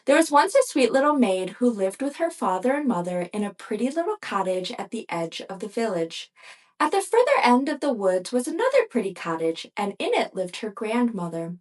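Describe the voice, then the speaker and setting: A woman reading a fairy tale aloud for children as the host of a storytime. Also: fairly high pitch. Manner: slow, lively storybook reading. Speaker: storyteller and ventriloquist.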